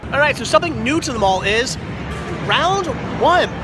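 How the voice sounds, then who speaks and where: A man's voice: very high-pitched, animated, normal speed, over music. A man in his thirties with a cold, sounding congested and nasal, rambling cheerfully in a casual vlog at a busy mall arcade. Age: 30s. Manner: rambling, upbeat, repeats words. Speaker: vlogger. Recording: loud crowd and noise behind.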